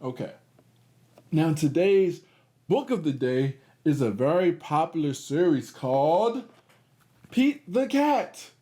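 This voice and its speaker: Male kids' read-aloud host: very lively intonation, slow clear delivery for little ones, encouraging, chatty asides. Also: a little high. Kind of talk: animated read-aloud for young children.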